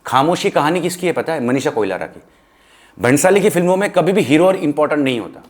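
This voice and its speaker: Higher-pitched Indian man, opinionated and emphatic. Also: animated, quick pace. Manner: opinionated, emphatic, mixes English phrases into Hindi. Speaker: film discussion participant. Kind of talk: animated film discussion, casual chat.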